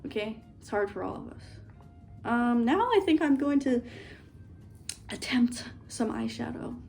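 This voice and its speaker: A woman's voice: on the high side, expressive, measured pace, gravelly, music in the background. A young woman in a dorm room, rambling playfully as she does her makeup for a get-ready-with-me video. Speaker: beauty vlogger. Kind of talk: casual get-ready-with-me chat.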